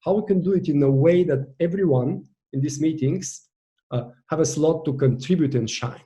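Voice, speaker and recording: Bulgarian-accented man with a mellow voice. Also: on the high side, moderate pace. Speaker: virtual team coach. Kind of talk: online masterclass presentation.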